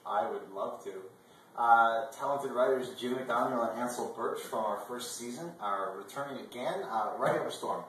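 A man in his twenties co-hosting a video blog, talking casually in a measured, earnest way. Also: medium pitch, a bit bright. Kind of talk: casual talk to camera. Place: indoor dining room at a table. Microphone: far camera microphone. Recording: echoey room, distant mic, faint noise.

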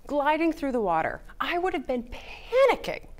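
A woman's voice: high-pitched, wildly swooping pitch, normal speed, a little hiss or hum. A woman in her thirties, a news anchor, chatting upbeat and emphatic with a co-anchor at a studio desk on a lapel mic. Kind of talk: casual anchor chat.

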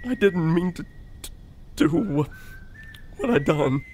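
A man acting in an audio drama as a gentle, frightened, childlike convict who speaks haltingly with a heavy stammer. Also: sits high, very animated, slight background noise, heavily acted. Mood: remorseful. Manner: halting, simple childlike speech.

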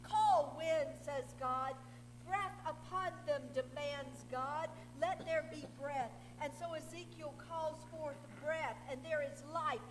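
A woman's voice: sits high, takes it slowly. A middle-aged woman pastor preaching a dramatic sermon from the pulpit, voicing God and the prophet in turn. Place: large church sanctuary. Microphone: far camera at pulpit. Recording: distant reverberant church recording with hum.

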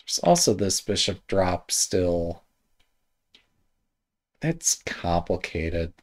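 A man reviewing a board game, thinking aloud in a hesitant, musing way with many pauses and trailing thoughts, with a slight Midwest American accent. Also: low-pitched, very animated. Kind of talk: casual game commentary while thinking aloud.

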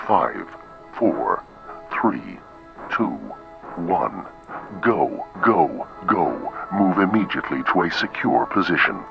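A menacing video game computer with an extremely low, gravelly voice. It delivers slow, clipped, mechanical commands. The sound is dull and radio-like, with music behind it. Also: male voice, expressive, heavily acted. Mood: flat command. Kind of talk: video game prompts in audio drama.

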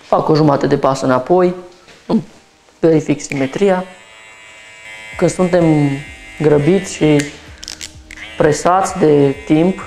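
A man's voice: a little high, fairly even intonation, pauses often, darkish tone, faint music behind. A man in his thirties from Romania, a barber who explains each step of a haircut while he works, talking through it in a small shop.

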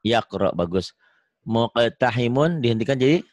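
A man with a Sundanese accent teaching Quran reading over a video call, drawing out syllables slowly, correcting and praising a student. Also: medium pitch, animated, a little mellow, no echo. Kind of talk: online reading lesson.

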